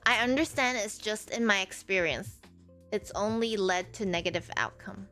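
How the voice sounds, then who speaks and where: A woman in her forties who grew up in Malaysia, a streamer answering chat in a halting way with many fillers. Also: medium pitch, bright, forceful tone, faint music behind. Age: 40s. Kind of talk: live stream chat reading viewer comments.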